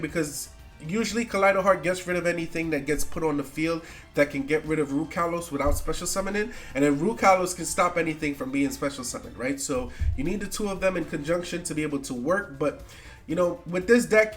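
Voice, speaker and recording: Young man, congested nasal voice, casual slangy gaming commentary, slightly high pitch, faint music behind. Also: fairly bright tone. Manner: loose, slangy, repeats "right?" often. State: congested, recovering from a cold. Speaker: gaming YouTuber. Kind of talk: casual commentary on card game decks.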